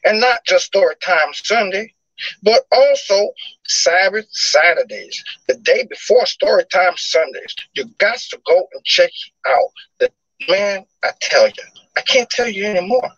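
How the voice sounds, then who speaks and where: An eager male fan, played by a man, talks up a channel in a husky, fairly high voice, sounding salesy and excited. The sound is dry and dull, like a lo-fi home recording. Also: a little slow, dry recording, exaggerated voice. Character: enthusiastic male fan giving a testimonial.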